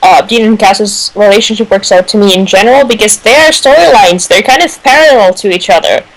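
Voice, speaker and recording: A woman's voice: mid-range voice, a little fast, rough recording. A woman giving her views casually as a panelist on a fan radio show.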